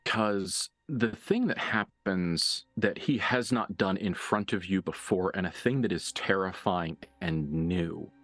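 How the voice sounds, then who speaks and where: Deep, slow-paced man narrating horror. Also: fairly bright tone, no echo. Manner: deliberate, halting, with pauses for emphasis. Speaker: game master. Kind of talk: dramatic improvised narration of a tabletop horror game.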